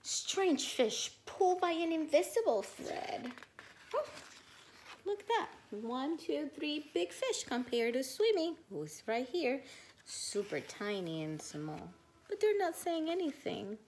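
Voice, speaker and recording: A woman teacher reading a children's storybook aloud, expressive and questioning, pausing to think out loud. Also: sits high, a little hiss or hum.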